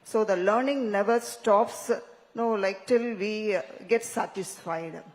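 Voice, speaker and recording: A woman's voice: mid-range voice, slow pace, darkish tone. A woman with an Indian English accent giving formal, earnest remarks as a summit panelist. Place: large conference hall. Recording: reverberant hall.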